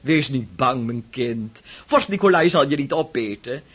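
An actor voicing a bossy, chatty elderly aunt in a radio drama, commanding and teasing with a husky voice. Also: male voice, mid-range voice, lively intonation, unhurried, fairly bright tone. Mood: gossipy.

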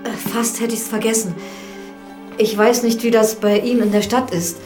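An actress playing a deferential, curious village housekeeper in a radio drama, plain-spoken and hesitant. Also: female voice, fairly high pitch, fairly even intonation, normal speed, over music, natural voice. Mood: deferential, confiding.

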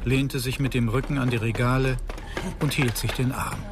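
A man's voice: medium pitch, level tone, normal speed, background noise, lightly acted. A man narrating a radio play in a scripted storytelling style.

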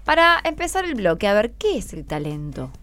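A woman's voice: mid-range voice, very animated, moderate pace, fairly bright tone. A woman with an Argentine accent hosting an unscripted radio interview, encouraging and prompting with short questions. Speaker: radio host interviewing schoolchildren. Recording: faint music behind. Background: faint music.

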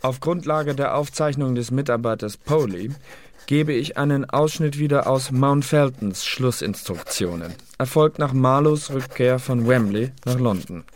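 An actor playing an officious secret-service clerk in a radio play, reporting in a dry, bureaucratic manner. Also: male voice, medium pitch, expressive, measured pace, slight background noise, lightly acted.

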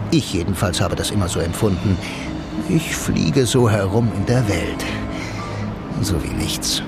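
An actor playing a blind bank employee who narrates a radio drama in a wry, brooding, intimate way, speaking straight to the listener. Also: male voice, low voice. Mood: musing. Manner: musing, intimate, addresses the listener directly. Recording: loud music bed under the voice.

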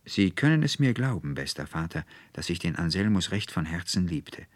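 A man's voice: low-pitched, very animated, moderate pace, no room sound. A man voicing an earnest, resolute young woman in an audiobook, declaiming emphatically in formal, old-fashioned phrases. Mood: earnest confession. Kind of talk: literary audiobook character dialogue.

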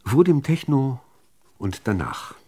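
Slow, expressive man reciting poetry. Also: mid-range voice, breathy edge. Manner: measured, deliberate phrasing with expressive emphasis. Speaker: reader of poems.

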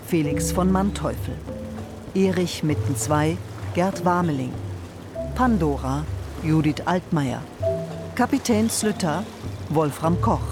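A woman announcer formally reading scripted radio drama credits in a deliberate, list-like way. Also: on the low side. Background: music.

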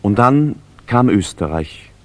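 A man's voice: on the low side, lively intonation, takes it slowly, faint music behind. A man narrating a children's musical story expressively.

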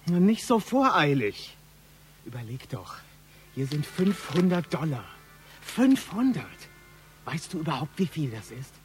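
A man's voice: a little high, sing-song, a little slow. An actor playing a wheedling, menacing criminal man who pushes and coaxes in a radio play. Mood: pushy, menacing. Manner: pushy, coaxing. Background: music.

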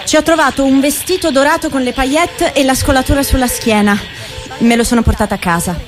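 An actress plays a disillusioned young city woman confessing on stage. She speaks high, in plain, candid short statements. Loud music runs underneath the whole monologue. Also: fairly quick, close to an everyday voice. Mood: candid, matter-of-fact. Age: young adult. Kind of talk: theatre monologue, confessional narration.

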